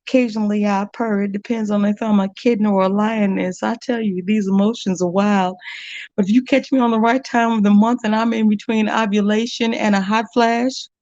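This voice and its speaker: A woman's voice: mid-range voice, steady tone, brisk, darkish tone, no room sound. A middle-aged woman performing spoken word poetry with a bold, sassy, rhythmic delivery. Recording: dull, dry, lo-fi sound.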